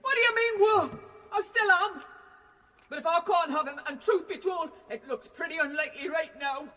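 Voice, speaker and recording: An actress playing a fierce, blunt, unhinged housekeeper in a radio drama, scolding and indignant. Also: female voice, extremely high pitch, normal speed, bright, forceful tone, in a large hall. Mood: bitter, defiant. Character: sour, fierce, demented housekeeper woman, jealous and blunt.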